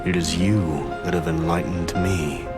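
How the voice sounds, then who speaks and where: An actor playing a weary, enlightened wandering mystic in a game cutscene, grave and poetic in his deliberate speech. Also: low voice, fairly even intonation, lightly acted. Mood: solemn, weary. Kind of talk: scripted game cutscene acting. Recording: loud music behind the voice.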